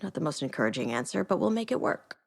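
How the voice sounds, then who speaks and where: A tough government agent in a game drama, with a low voice. She is blunt and no-nonsense, with dry sardonic delivery. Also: very animated, quick pace, vocal fry, breathy edge, heavily acted. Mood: stern, sardonic.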